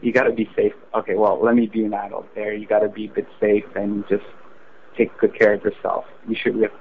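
A young man with a somewhat low voice talks over a tinny phone connection. He speaks haltingly, asks questions and repeats himself. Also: level tone. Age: 20s. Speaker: prank call target. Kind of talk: casual phone chat. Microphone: telephone. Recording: noisy, tinny phone line.

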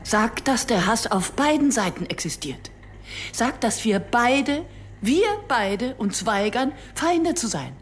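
A woman's voice: on the high side, expressive, slow pace, a little hiss or hum. An actress playing a passionate, argumentative woman who tells her own story in a German radio drama, sardonic and insistent. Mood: urgent, pleading.

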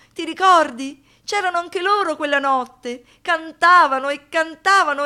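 An actress playing a haunted, wounded woman in a poetic radio monologue, speaking with intense deliberation, dramatic pauses and rhetorical questions. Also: high-pitched, bright, forceful tone, full character voice. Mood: agitated, insistent. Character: haunted, wounded woman, lyrical, pleading and defiant.

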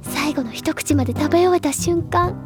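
A woman playing a bubbly, stubborn high school girl who gushes and lectures theatrically about literature in an audio drama. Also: normal speed, loud music behind the voice, close to an everyday voice. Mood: passionate lecturing. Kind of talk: Japanese anime-style audio drama acting.

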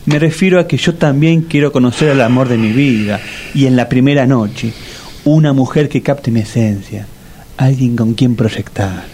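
A man's voice: mid-range voice, moderate pace, dark tone, slight background noise, natural voice. An actor playing a fussy, earnest old bachelor in a comic radio drama, scolding his friend in a Rioplatense accent. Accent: Rioplatense Spanish.

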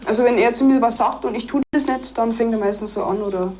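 A teenage woman answering an interviewer, haltingly and repeating herself. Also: fairly high pitch, a little mellow. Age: teens. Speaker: dental assistant. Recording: noisy film soundtrack.

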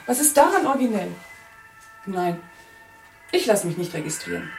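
A woman's voice: mid-range voice, darkish tone, a little hiss or hum. An actress playing a gossipy, envious, grumbling neighbour woman in a German radio play, chatty and needling. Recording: roomy, distant mic, faint music.

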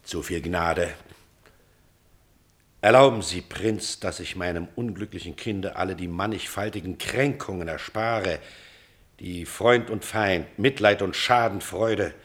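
A man's voice: low voice, measured pace. An actor playing a proud, anguished older father in a classical German radio drama, speaking formally with bitter restraint. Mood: restrained, grave. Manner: grave, formal, weighty, bitterly ironic.

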